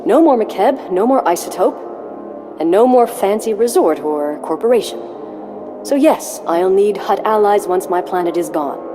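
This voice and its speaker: A proud, sardonic female tycoon in a game cutscene, acted by a woman. She bargains with bitter defiance in a mid-pitched voice. A long reverb trails her words, with music playing behind. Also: expressive, unhurried, close to an everyday voice. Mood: grim, bargaining. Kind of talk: video game cutscene acting.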